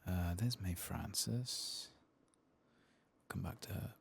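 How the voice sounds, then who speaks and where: A soft-spoken man hosting an ASMR game playthrough, hushed and unhurried, sometimes slipping into whispers. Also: low-pitched, gravelly, slightly rough. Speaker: ASMR gaming host.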